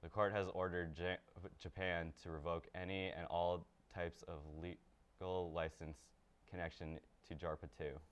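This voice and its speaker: A man's voice: deep voice, level tone, a little slow, clean tone. A young man presenting from a small TV studio, delivering scripted lines in an earnest, rehearsed way. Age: young adult. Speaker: student presenter.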